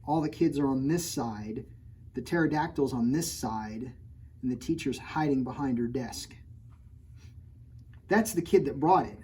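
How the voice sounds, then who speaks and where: Playful man reading to kids, mellow voice. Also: medium pitch, a little slow, long pauses, slightly rough. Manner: playful, jokey, mock-outraged, talking straight to children. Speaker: children's author reading his own book. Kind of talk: storytime read-aloud with playful asides to kids.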